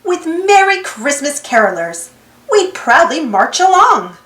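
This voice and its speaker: High, lilting woman reading a children's storybook. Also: very animated, unhurried, a bit bright. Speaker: storybook reader, channel host. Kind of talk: children's storybook read-aloud. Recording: roomy echo with music behind. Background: music.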